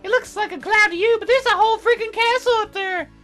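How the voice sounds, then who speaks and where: A young cloud fairy girl from a visual novel game. The voice sits very high and girlish, with a bright edge. She sounds proud and earnest, matter-of-fact about her grand sky world. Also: fast talker, soft background music. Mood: haughty, indignant.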